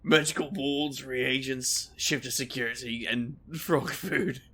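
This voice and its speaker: An actor playing a wry, reckless male paranormal investigator who tells his own tale in an audio drama, with a gravelly, sardonic delivery. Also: mid-range voice, steady tone, a little slow, pushed and bright. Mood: flippant. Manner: wisecracking, casual, sardonic. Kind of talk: audio drama acting with noir-like first-person narration.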